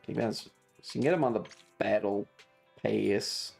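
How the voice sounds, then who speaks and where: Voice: mid-range voice, normal speed, vocal fry, darkish tone, husky, soft background music. A streamer chatting casually while gaming, with foul-mouthed slang and playful exclamations. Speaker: variety streamer. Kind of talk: casual gaming livestream chat.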